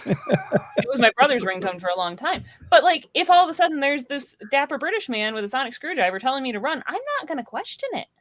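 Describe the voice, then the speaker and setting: 表情豊かに抑揚をつけて話す30歳前後の女性. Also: female voice, high voice, sing-song, fast talker. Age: around 30. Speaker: podcast panelist. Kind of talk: casual chat.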